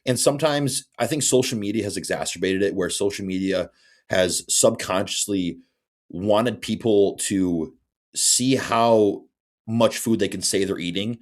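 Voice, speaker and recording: A man's voice: lowish voice, unhurried. A man in his twenties, a bodybuilding coach, chatting casually on a podcast and often asking "you know what I'm saying". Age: 20s.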